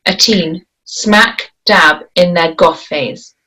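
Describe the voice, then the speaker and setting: A woman reading stage directions aloud at a script table read, in a deliberate, measured way. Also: lowish voice, sharp tone, rough recording.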